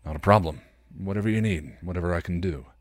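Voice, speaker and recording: A man's voice: deep voice, quick pace, dry recording, studio quality, close to an everyday voice. A man voicing a sardonic, grouchy but caring male vampire in a story reading.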